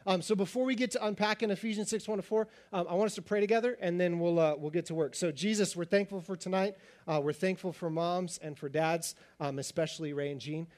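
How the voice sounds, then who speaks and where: A man preaching a sermon as pastor, talking fast and animated, his voice slightly raspy. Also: sits high.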